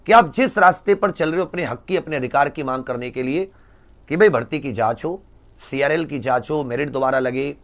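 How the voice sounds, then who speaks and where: A man, a coaching teacher addressing students directly, emphatic and exhorting, with rhetorical questions and repeated phrases. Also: medium pitch.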